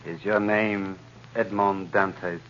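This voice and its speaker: Jealous young male rival, very low pitch, slow, cold, hostile, muffled 1930s radio recording. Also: flat delivery, a bit bright, slight background noise. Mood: cold hostility. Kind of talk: 1930s radio drama acting.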